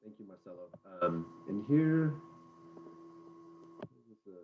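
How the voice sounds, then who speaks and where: Low-voiced man reading questions. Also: very animated, narrow, tinny audio, slight background noise. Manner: quick, reads questions aloud. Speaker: webinar moderator.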